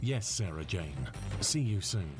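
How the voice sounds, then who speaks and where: A man voicing a calm, courteous supercomputer with a husky voice and formal, measured manner in a sci-fi audio drama. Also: sits low, over loud music.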